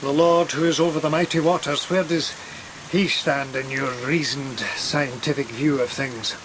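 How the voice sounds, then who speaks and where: A man's voice: fairly high pitch, moderate pace, close to an everyday voice. A man playing a stern, pious sea captain with a formal, commanding manner in a gothic audio play. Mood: challenging. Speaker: actor in an audio drama. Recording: steady rain and storm noise behind.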